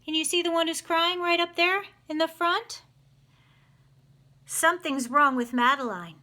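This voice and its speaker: Bright, high-pitched woman reading to children. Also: measured pace. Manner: expressive, addresses children with simple questions. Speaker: children's read-aloud storyteller. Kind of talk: picture-book read-aloud with questions to young listeners.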